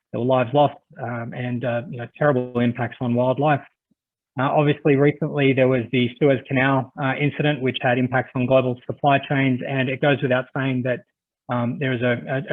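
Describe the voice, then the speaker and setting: Aussie guy presenting on a video call, friendly and chatty, lots of uhs, tinny phone-like sound. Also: medium pitch. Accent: Australian. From Australia. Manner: friendly, chatty, with frequent "uh" fillers. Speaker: conference presenter. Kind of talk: webinar presentation. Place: home office on a video call.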